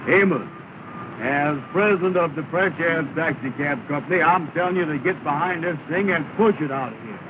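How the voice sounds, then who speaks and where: A scratchy, very noisy old film soundtrack carries a man playing a pompous taxi company boss. His voice is pitched high, and he hands out orders in broad comic dialect with a self-important air. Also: normal speed, lightly acted. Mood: bossy. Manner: pompous, dialect comedy with nonstandard grammar. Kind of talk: 1930s film comedy acting. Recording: scratchy, tinny 1930 film soundtrack, loud noise.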